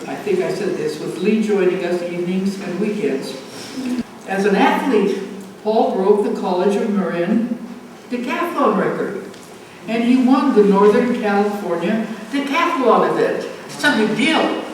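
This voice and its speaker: A woman in her nineties from the United States reminisces to an audience in a halting way, often repeating phrases. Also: mid-range voice, darkish tone. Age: 90s. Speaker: speaker at a family art show. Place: community center event room. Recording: echoey, noisy room, distant microphone.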